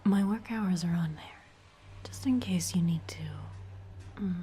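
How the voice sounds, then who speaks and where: A woman's voice: lowish voice, slow pace, dark tone, slight background noise. A woman whispering in an ASMR roleplay as a teasing, predatory female vampire office boss. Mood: smug. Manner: whispered throughout.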